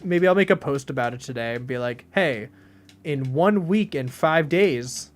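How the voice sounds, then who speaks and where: A young man in his late teens streaming games and chatting casually, with a deadpan delivery and lots of rambling fillers. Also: medium pitch, normal speed. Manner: deadpan, flat delivery even when joking; rambling, many fillers and restarts. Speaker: game streamer. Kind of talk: casual live-stream chat.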